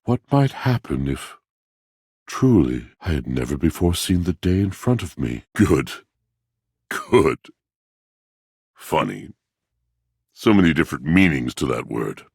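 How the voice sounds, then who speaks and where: Game role: brooding, grandiose appliance with a tragic poet's soul, very low husky voice, florid delivery full of dramatic pauses. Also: male voice, no echo, studio quality, full character voice. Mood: wistful, awed, then pensive. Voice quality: deep, husky, gravelly-soft. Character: melancholy, self-pitying, poetic washing machine with theatrical grandeur. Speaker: video game character voice. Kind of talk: theatrical video game voice acting, poetic monologue.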